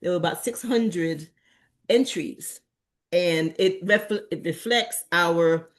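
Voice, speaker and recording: A woman's voice: on the low side, slow pace. A middle-aged woman born in Antigua and Barbuda, giving a rambling, casual talk to a community meeting, full of fillers and restarts. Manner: meandering, with many fillers and restarts. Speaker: community presenter at an association meeting.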